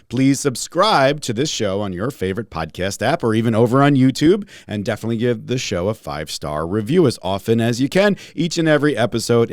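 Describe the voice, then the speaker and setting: A man hosting a podcast in a casual, jokey, self-deprecating way, his voice slightly raspy and congested. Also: medium pitch, no echo, clean studio sound. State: congested from a respiratory illness. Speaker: podcast host, holistic pharmacist.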